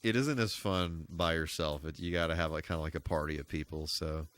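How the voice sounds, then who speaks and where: A man with a low voice hosts a casual game live stream. He talks in a rambling, halting way, thinking aloud as he goes and using mild, folksy exclamations. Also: fairly bright tone.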